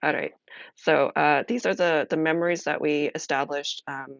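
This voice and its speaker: Very creaky woman streamer, thinking aloud. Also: low-pitched, steady tone, no room sound, dull audio. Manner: halting, thinking aloud with pauses. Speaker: streamer playing a solo role-playing game. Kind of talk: casual live-stream chat, explaining a game.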